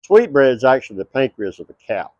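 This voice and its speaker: A man's voice: mid-range voice, very animated, fast talker, a little mellow, no room sound, dull audio. A middle-aged man with a Texas twang, hosting a cooking show at an outdoor backyard table and chatting straight to his viewers.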